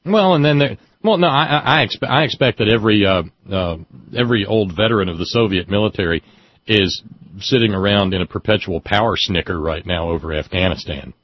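An older man with a low, husky voice hosts a casual talk show, spinning rambling anecdotes with wry chuckles. The sound is dry and muffled, with the highs rolled off. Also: fairly quick, dry recording. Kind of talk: casual call-in chat.

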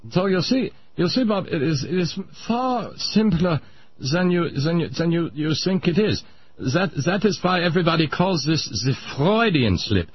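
A man voicing a pompous old male Viennese psychoanalyst, lecturing in a smug, drawn-out way. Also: fairly high pitch, lively intonation, breathy edge, muffled recording.

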